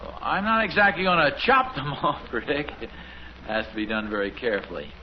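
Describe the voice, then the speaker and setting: Male actor for bumbling, boastful American sitcom dad; lively pitch swings, muffled vintage radio sound. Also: brisk, close to an everyday voice. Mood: patient, muddled. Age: middle-aged. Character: fumbling, boastful suburban dad, proud amateur handyman. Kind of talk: 1950s radio sitcom acting. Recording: noisy, muffled 1950s radio recording.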